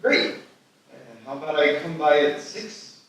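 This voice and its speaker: A man's voice: a little high, measured pace, a bit bright. An actor playing an awkward, scheming young man smitten with his neighbor, in a live screenplay table read. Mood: hopeful, sheepish. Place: live festival reading in an echoey room. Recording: echoey hall, distant mic, faint noise.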